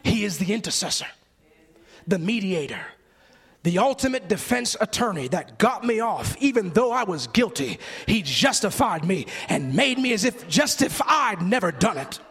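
A man preaching an impassioned sermon with a gravelly voice, fervent and emotional, in a rhythmic, repetitive, declamatory cadence. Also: high voice, very animated, moderate pace, fairly bright tone. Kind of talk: impassioned sermon listing the names of Christ. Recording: long reverb of a large hall.

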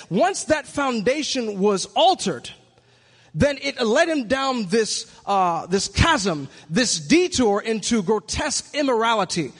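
A man's voice: very high-pitched, a bit bright, husky. A man from Michigan preaching in an earnest, reflective way that builds to emphatic points. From Michigan, USA. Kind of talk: sermon-style monologue. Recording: long hall reverb.

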